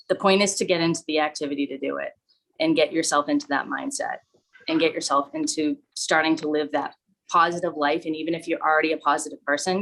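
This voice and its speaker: A woman giving a casual, rambling talk, speaking in a flat monotone and using lots of fillers. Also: sits low, vocal fry, rough recording. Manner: self-described monotone, relaxed and rambling with many fillers. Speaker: guest speaker. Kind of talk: casual talk on a live call.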